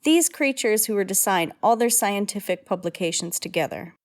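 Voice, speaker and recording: Woman narrator with a mid-pitched voice. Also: lively intonation, moderate pace. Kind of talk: scripted old-time radio drama narration.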